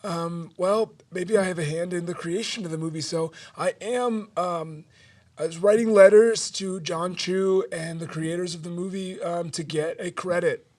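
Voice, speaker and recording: A man's voice: high-pitched, fairly even intonation, normal speed, darkish tone, far from the mic. A man in an improvised mock roundtable, talking as a self-important Broadway performer. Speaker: improv comedian on a podcast.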